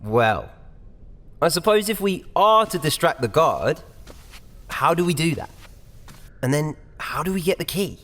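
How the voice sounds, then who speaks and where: A man's voice: on the high side, sing-song, unhurried, long pauses, fairly bright tone. A man playing a sarcastic, exasperated young prisoner in an audio drama, recorded with long reverb. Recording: long hall-like cell reverb.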